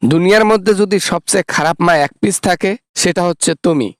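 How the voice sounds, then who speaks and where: A man's voice: sits high, fairly quick, no room sound, studio quality, close to an everyday voice. A man playing a greedy, sarcastic adult son, scornful and demanding, in a satirical phone-call skit.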